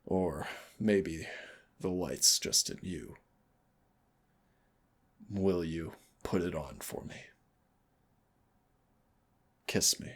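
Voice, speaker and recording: A man voicing a brooding demon prince who is tender toward his hunter lover, gravelly and husky, slow and intimate in a romantic audio roleplay. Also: low voice, full character voice. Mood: sorrowful, tender. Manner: slow, dramatic, intimate delivery. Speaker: voice actor.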